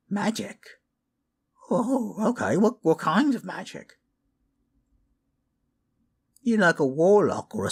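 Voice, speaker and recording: A man's voice: fairly high pitch, slightly rough, voice pushed up, full character voice. A man voicing a paranoid old male goblin shaman in improvised fantasy audio, hesitant, stammering and sardonic. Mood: curious, wary.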